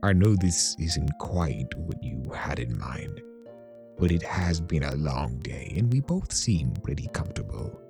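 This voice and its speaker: Man playing a deep-voiced, hesitant trickster creature. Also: very animated, unhurried, gravelly, heavily acted. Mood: tender, hesitant. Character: male immortal trickster creature, awkward, tender, teasing. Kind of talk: fan fiction audio drama acting. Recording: music behind the voice.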